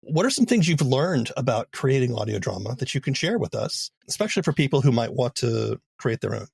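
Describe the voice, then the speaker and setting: A man's voice: mid-range voice, big pitch swings, fairly quick, vocal fry, darkish tone, breathy edge, dry recording, studio quality. A man hosting a podcast, with scripted narration and interview questions. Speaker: podcast host.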